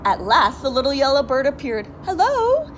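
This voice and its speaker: Expressive woman reading a picture book aloud. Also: mid-range voice, unhurried, a little hiss or hum, rough recording. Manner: expressive, sounds out and spells words letter by letter. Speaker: teacher.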